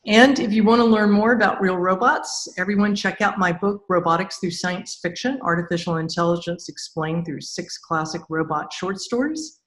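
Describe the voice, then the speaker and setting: A woman's voice: lowish voice, normal speed, hardly any pauses. A woman hosting a podcast interview, speaking conversationally in an appreciative, encouraging way. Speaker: podcast host, author. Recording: roomy, echoey sound.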